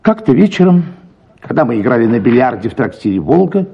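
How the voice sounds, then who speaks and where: A man's voice: mid-range voice, very animated, brisk, creaky, breathy edge, highs cut off. A man reading first-person literary narration for a radio production, as an actor telling a story.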